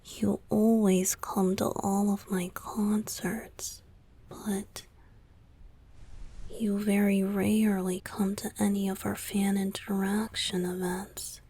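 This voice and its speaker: Casting: woman as young male idol boyfriend for romantic ASMR audio drama; tender, wistful, slow, breathy whispering with creaky edges. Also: medium pitch, level tone. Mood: apologetic, tender. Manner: soft, hesitant, pleading, confiding.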